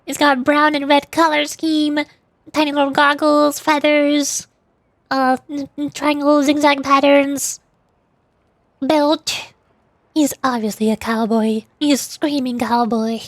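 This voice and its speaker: A woman's voice: high voice, level tone, slow pace, no room sound. A woman streaming a game, switching between playful commentary and reading dialogue aloud in character. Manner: reads dialogue aloud in character with joking asides. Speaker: VTuber streamer.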